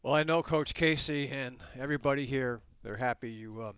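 Smooth-voiced male podcast host. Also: medium pitch, brisk, fairly bright tone, no room sound. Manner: conversational interviewer, asking long questions. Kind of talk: podcast interview.

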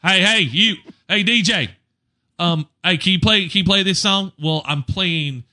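A man who works as a DJ, chatting casually and telling stories in an animated way, acting out exchanges. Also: high-pitched, measured pace. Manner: animated storyteller, acts out exchanges. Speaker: event DJ. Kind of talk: casual anecdotal chat.

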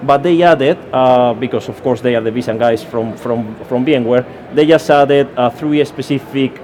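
A man's voice: mid-range voice, a little slow, darkish tone, slight background noise. A man from Spain with a Spanish accent, giving a technical conference talk with many fillers and restarts. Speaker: conference speaker. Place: conference session room.

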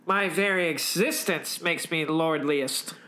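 A man's voice: a little high, takes it slowly, bright, forceful tone, voice pushed up. A man voicing a vain, bullying alien spaceship commander with an imperious, declamatory manner in a comic story.

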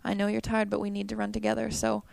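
A young woman answers questions about her running with a gravelly, creaky voice. Her pitch stays flat and even while she chats on easily. The room sounds dry and close. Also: fast talker, dry recording. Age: young adult. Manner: chatty, upbeat, frequent "um" and "like". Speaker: college cross country runner. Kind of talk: interview answers.

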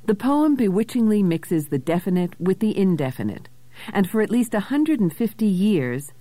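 A woman narrator delivering scripted literary commentary in a measured, literate way. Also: fairly low pitch, warm tone, no room sound. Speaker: narrator introducing poetry.

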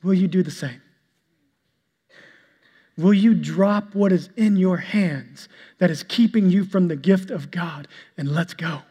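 A male pastor preaching a sermon to his congregation, with an even delivery he describes as monotonous. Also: high-pitched, breathy edge. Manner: calls himself monotonous and boring-voiced; preaching, shifts to a soft, slow prayer. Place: church sanctuary. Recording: long hall reverb with soft instrumental music.

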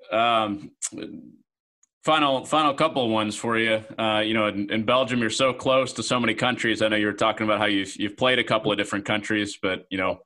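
A man's voice: lowish voice, sharp tone. A man interviewing a guest, asking chatty questions and repeating words as he goes.

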